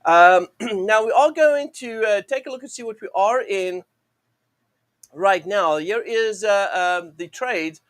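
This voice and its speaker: A man with a high, croaky voice, strained from a sore throat, hosts a live market show. He rambles on through fillers and false starts, leaning on the same stock phrases. The sound is close and dry, with no room around it. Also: takes it slowly, fairly bright tone, no room sound. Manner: rambling, many fillers and restarts, "go ahead and". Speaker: host of a live forex trading show. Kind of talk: live-stream teaching monologue.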